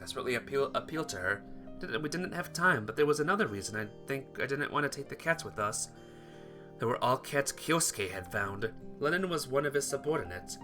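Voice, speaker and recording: A man's voice: mid-range voice, expressive, a little fast, music in the background. A man reading first-person visual novel narration aloud for a playthrough, stumbling over words and rereading them.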